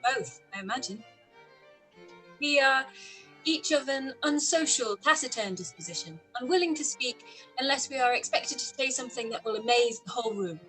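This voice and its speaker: A witty, proud young gentlewoman of a period radio play, acted by a woman. Her voice is high and bright, and she spars archly in formal, pointed phrases. Faint music plays behind her. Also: animated, normal speed, rough recording. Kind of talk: period drama live radio-play reading.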